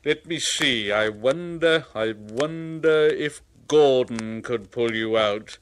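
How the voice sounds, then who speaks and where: A man's voice: mid-range voice, slow, a little hiss or hum, close to an everyday voice. A man voicing a stout, stern male railway boss with a scolding manner.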